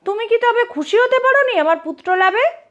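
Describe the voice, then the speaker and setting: A woman's voice: very high-pitched, animated, normal speed, a bit bright, dull audio, pitched above the performer's own voice. A woman voicing a proud, exasperated king in a comic audio storybook, speaking in an emphatic, commanding way. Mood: displeased, questioning.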